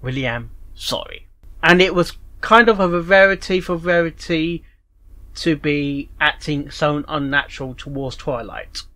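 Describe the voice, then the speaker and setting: A reaction video host giving casual commentary, halting and often repeating phrases. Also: mid-range voice, background noise.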